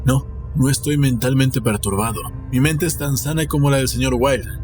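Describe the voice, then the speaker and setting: A proud gentleman played by a male narrator speaks formally and grandly, guarding secrets and insisting on his sanity. It is a storybook reading with music clearly behind it. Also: medium pitch, fairly even intonation, normal speed, close to an everyday voice. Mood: grandiose, secretive.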